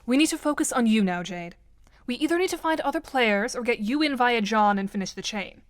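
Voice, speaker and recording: A woman as a composed, higher-voiced teenage girl. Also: animated, lightly acted. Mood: composed, concerned. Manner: formal, dry, measured wording. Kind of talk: amateur audio drama acting.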